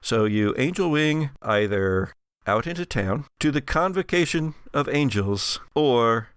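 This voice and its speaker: A man's voice: medium pitch, expressive, unhurried, fairly bright tone, clean tone, no room sound, clean studio sound. A man acting as game master, narrating a tabletop horror role-playing game.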